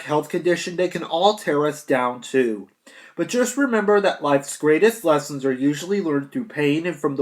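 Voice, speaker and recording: A young American man with a slightly high voice delivers a prepared graduation address. He sounds earnest and declamatory, setting out his points in short, emphatic phrases. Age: young adult. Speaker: graduating high school student giving a speech.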